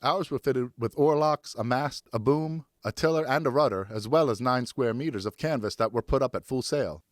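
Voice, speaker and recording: A male audiobook narrator with a mid-pitched voice reads literary prose. His storytelling is expressive and carefully phrased, captured in a dry, close recording. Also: normal speed, few pauses, dry recording. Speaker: audiobook narrator, professional voice actor.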